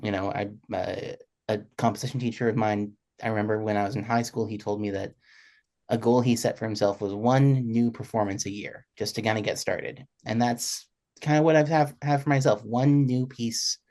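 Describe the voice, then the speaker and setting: A man's voice: lowish voice, steady tone, moderate pace, darkish tone. Young man reflecting on a panel. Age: young adult. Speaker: panelist, composer and conductor. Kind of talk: panel discussion talk, reflective.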